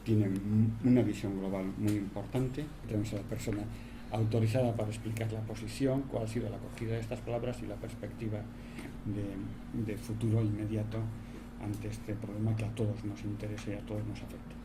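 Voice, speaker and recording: A man with a lower voice gives a formal introduction of a speaker, measured and a little hesitant. Steady noise sits under the recording. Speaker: event moderator introducing the guest. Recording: noisy room recording.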